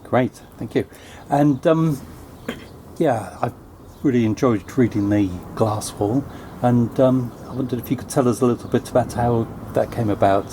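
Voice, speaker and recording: A man's voice: medium pitch, moderate pace, dark tone. A man asking conversational questions as an interviewer. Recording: clearly noisy background ambience.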